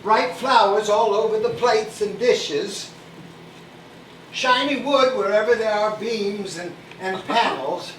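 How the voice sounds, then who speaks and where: An actor playing a gentle, doting older Victorian father, a humble toymaker, mild and hesitant in a stage play. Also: high voice, takes it slowly. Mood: fond. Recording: echoey distant stage recording, noisy.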